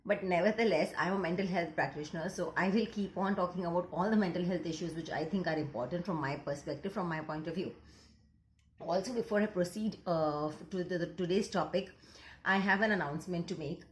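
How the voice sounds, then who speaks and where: A woman's voice: fairly low pitch, brisk, echoey room, slight background noise, rough recording. A woman counseling psychologist with an Indian accent, Gujarati her first language, talking earnestly to camera and slipping into Hindi mid-sentence. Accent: Indian English. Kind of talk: casual talk to camera.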